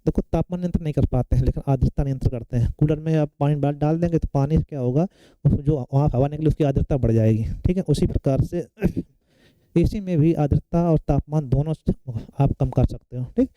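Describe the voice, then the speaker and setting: A man's voice: a little high, brisk, warm tone, no echo, muffled recording. A man giving an exam-prep science lecture, explaining step by step and often checking in with short tag questions. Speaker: science teacher for competitive exam students. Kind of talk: classroom lecture working through exam questions.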